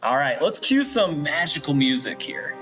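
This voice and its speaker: A man's voice: a little high, very animated, normal speed, a bit bright, faint music behind. A man hosting a casual, upbeat online event in a chatty, encouraging, informal way. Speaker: show host.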